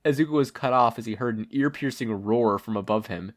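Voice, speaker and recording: A person narrating a story as an audiobook-style reading, with expressive delivery. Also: medium pitch, fairly quick. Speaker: fan fiction podfic reader.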